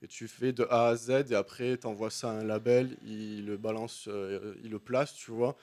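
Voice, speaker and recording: A man's voice: fairly low pitch, moderate pace. Laid-back man chatting with lots of fillers. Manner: relaxed slangy talk with many fillers. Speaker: guest beatmaker. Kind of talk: casual radio chat.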